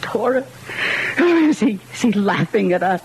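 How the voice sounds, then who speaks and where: Cornered fearful husband, anxious halting speech, widely varying pitch, radio horror play, faint noise. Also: male voice, sing-song, darkish tone, heavily acted. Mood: fearful, hushed. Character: nervous, desperate husband cornered by an unseen horror. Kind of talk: old-time radio horror acting. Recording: noisy old radio drama recording.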